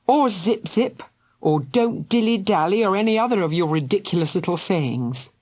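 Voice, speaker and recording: A woman voicing an angry, spiteful little brother who snarls and shouts insults. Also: medium pitch, animated, normal speed, no echo, studio quality, voice pushed up, close to an everyday voice. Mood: furious.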